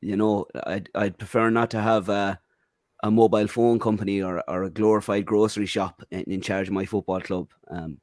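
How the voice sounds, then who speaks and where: Low-voiced Irish man, blunt and opinionated. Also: fairly even intonation, normal speed, darkish tone, no room sound, studio quality. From Ireland. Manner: opinionated, frequent "um" and "you know". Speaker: podcast panelist. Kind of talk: casual football podcast chat.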